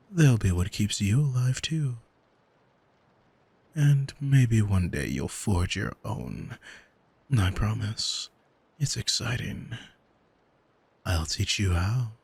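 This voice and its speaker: An arrogant demon, acted by a man, speaks low and very breathy, in an unhurried ASMR roleplay. He is smug and seductive, commanding with a soothing, hypnotic calm. The sound is close and dry. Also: dry recording, exaggerated voice. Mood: possessive, coaxing. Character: arrogant male demon, soul-owning manipulator, calm and seductive.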